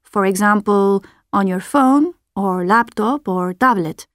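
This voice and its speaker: A woman reading a scripted language tip in a clear, friendly, even manner. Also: mid-range voice, slow, no room sound, clean studio sound. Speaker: learner giving a tip. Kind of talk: scripted textbook audio.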